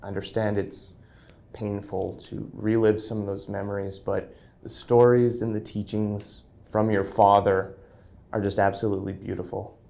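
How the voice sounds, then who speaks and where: A man host speaking in a soft-spoken, sincere way as he thanks a guest and closes the show. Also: on the low side, level tone, normal speed, vocal fry.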